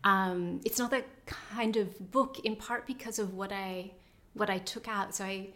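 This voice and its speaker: A woman author answering interview questions in a thoughtful, hesitant way, pausing often with fillers as she searches for words. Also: mid-range voice, steady tone, a bit bright. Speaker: novelist being interviewed. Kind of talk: reflective conversational interview.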